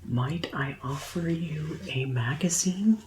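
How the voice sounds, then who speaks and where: A woman doing a soft-spoken, often whispered ASMR roleplay as a gentle, deliberate receptionist attending to a customer. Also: deep voice, heavy background noise. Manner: slow, gentle, deliberate customer-service delivery. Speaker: ASMR performer playing a vampire conversion receptionist.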